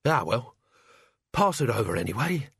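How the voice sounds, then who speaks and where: A man's voice: medium pitch, sing-song, moderate pace, creaky, slightly rough, no room sound, studio quality, exaggerated voice. A man voicing a cheeky, chatty male servant who talks with his mouth full.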